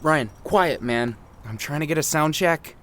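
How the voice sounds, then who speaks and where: A man's voice: fairly high pitch, expressive, measured pace, a bit bright, a little hiss or hum. A man playing a fussy, exasperated podcast sound technician in naturalistic audio drama dialogue, informal and nagging.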